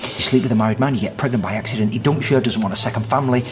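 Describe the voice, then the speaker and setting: An actor playing a disapproving, dutiful brother who reasons and lectures in naturalistic radio drama dialogue. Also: male voice, mid-range voice, racing, over music, close to an everyday voice. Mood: reproachful.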